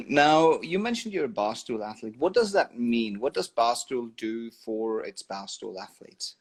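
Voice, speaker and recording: A man's voice: medium pitch, animated. Male podcast host. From Germany. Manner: halting, restarts phrases often. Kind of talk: interview questions.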